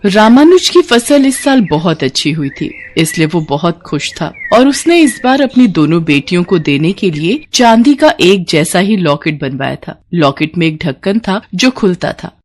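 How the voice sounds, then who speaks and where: A woman's voice: fairly low pitch, big pitch swings, normal speed, hardly any pauses, darkish tone. A woman narrating a scripted moral bedtime story.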